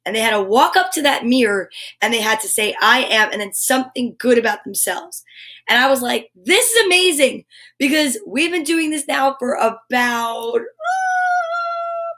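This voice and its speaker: Lady doing a live kids story show, highish voice, super encouraging n chatty, lots of playful asides. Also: very animated, a little slow, a bit bright. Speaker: host of a children's story time show. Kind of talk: live children's show chat and read-aloud.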